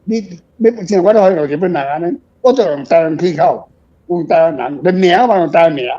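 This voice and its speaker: A Taiwanese man with a notably high voice and a dark, rounded tone. He explains things informally but emphatically, circling back to drive points home. The recording is dull and lo-fi. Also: a little fast. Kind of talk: informal opinionated discussion of translation.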